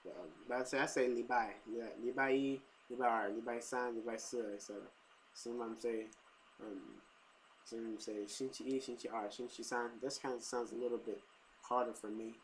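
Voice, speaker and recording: A young man chats into a webcam from his living room, hesitant and full of fillers, often stopping to restart a sentence. His voice sits at an ordinary pitch for a man. A faint background hum runs under the built-in mic. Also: steady tone, fairly quick, poor audio. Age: young adult. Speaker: vlogger. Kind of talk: casual webcam vlog.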